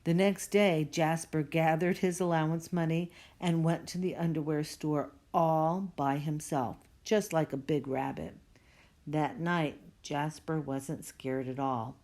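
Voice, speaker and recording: A woman's voice: sits low, steady tone, a little slow, darkish tone. A woman reading a children's storybook aloud expressively, stretching out the spooky words for dramatic effect. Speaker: storybook reader.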